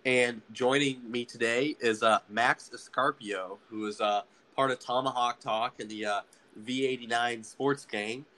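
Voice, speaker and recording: A man's voice: medium pitch, steady tone, unhurried, pushed and bright, low-quality recording. A young man hosting a student sports podcast, talking casually with many fillers and restarts. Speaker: podcast host.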